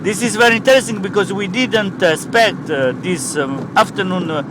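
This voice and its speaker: An Italian-accented man in a comic sketch, hosting a mock interview in halting English packed with "uh". His voice sits fairly high. Loud street traffic runs behind him. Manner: hesitant, full of uh fillers.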